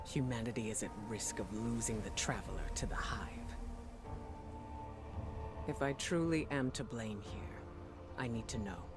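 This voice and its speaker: A woman playing a proud, self-doubting spymaster in a video game, serious, measured and controlled. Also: extremely low pitch. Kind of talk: dramatic game cutscene dialogue.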